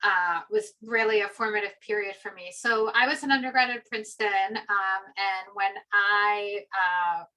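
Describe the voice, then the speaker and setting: A woman's voice: mid-range voice, steady tone, a little slow, few pauses, pushed and bright, poor audio. A middle-aged woman from Peru, a philosophy professor, chatting reflectively about her path with many fillers. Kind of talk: conversational personal story.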